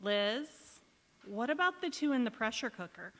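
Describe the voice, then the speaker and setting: A folksy, bossy best pal from a vintage radio sitcom acted by a woman, with a high voice. She coaxes and prods theatrically, and her words ring through a big hall. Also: very animated, normal speed, a bit bright. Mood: prodding. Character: brisk, supportive best-friend housewife, wry and folksy. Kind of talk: golden-age radio sitcom acting on stage. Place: large indoor hall, live stage performance. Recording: echoey hall, community theatre stage.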